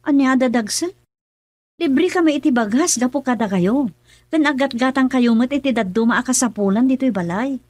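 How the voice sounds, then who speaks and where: An actress playing a troubled young married daughter in a radio drama, speaking softly and pleadingly. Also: female voice, high voice, moderate pace, dry recording. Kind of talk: Ilocano radio drama acting.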